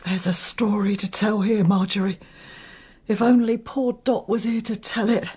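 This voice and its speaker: Actress as husky grieving mother. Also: medium pitch, fairly even intonation, moderate pace, warm tone, lightly acted. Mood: bitter, suspicious. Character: sharp, suspicious widowed mother, grieving, no-nonsense. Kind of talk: radio drama acting.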